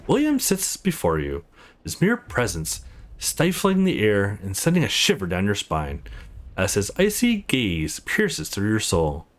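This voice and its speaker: A man's voice: lowish voice, huge pitch swings, unhurried. A man hosting a let's play, reading a visual novel aloud expressively and adding casual commentary.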